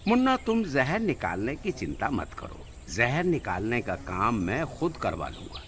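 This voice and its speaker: A man's voice: mid-range voice, wildly swooping pitch, unhurried, fairly bright tone, slight background noise. A man playing a wealthy, shady merchant offering illegal deals in a cartoon moral story. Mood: businesslike. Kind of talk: animated moral-story dialogue in Hindi.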